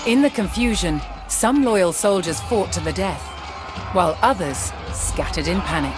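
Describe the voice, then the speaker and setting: Dramatic history narrator over loud music. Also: medium pitch, a crowd in the background. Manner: measured, dramatic storytelling. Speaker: documentary narrator. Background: loud background music.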